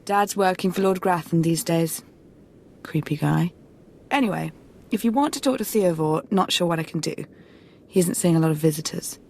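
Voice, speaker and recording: Spoiled, husky-voiced young socialite. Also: female voice, on the low side, normal speed, a little mellow. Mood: coy, bored. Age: young adult. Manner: flippant, sassy. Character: spoiled, brash young rich socialite woman. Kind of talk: video game voice acting.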